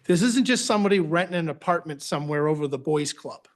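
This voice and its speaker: A man's voice: a little high, lively intonation, quick pace. A man with a Boston accent, giving a conversational presentation to a board at a public meeting, with phrase ends that trail off. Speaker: agency director presenting to a board.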